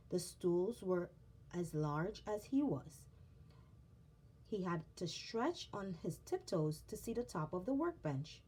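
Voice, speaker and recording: A woman narrates a children's story at an unhurried pace. Her lowish, husky voice moves expressively through each scripted sentence. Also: mellow. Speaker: storybook reader.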